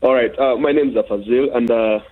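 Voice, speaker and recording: A man's voice: medium pitch, fairly even intonation, brisk. A man originally from Uganda calling in to a radio show, making his points earnestly with many fillers. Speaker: caller. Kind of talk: phone-in call. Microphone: telephone. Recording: tinny phone line.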